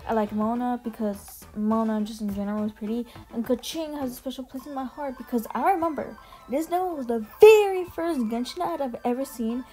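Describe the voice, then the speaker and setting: A woman's voice: on the high side, fairly quick, dark tone. A young woman giving casual, slangy, emphatic opinion commentary as a voice-over. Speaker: video creator ranking game trailers. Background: music under the voice.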